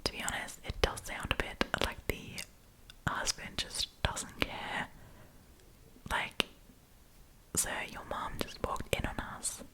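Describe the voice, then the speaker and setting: A woman's voice: slow pace, pauses often, pushed and bright, rough recording. Woman whispering a chatty ASMR storytime. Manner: chatty, informal, rambling asides.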